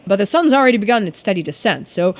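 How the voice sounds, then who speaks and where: A woman's voice: mid-range voice, sing-song, fast, close to an everyday voice. A woman voicing an eager, genteel investigator in a video game, with florid, exclamatory speech. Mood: eager, delighted. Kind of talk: game character dialogue read aloud. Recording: music behind the voice.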